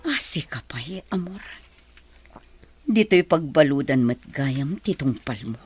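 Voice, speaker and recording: An actress playing a sorrowful, reproachful but forgiving woman in a radio drama, with a husky, breathy voice. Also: sits low, lively intonation, measured pace, dry recording, heavily acted. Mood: hurt, reproachful.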